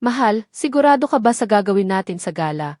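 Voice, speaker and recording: A woman narrator with a Filipino accent voicing a calm, steadfast wife and mother in an audio drama, measured and earnest. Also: mid-range voice, no room sound, studio quality, close to an everyday voice. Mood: worried, tender.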